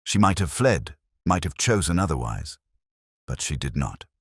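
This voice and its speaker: A man voicing a cool, detached Victorian detective in an audiobook, speaking formally and quietly in aphorisms. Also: a bass voice, sing-song, moderate pace, slightly rough, dry recording, studio quality, heavily acted. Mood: stern, detached. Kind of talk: audiobook character dialogue.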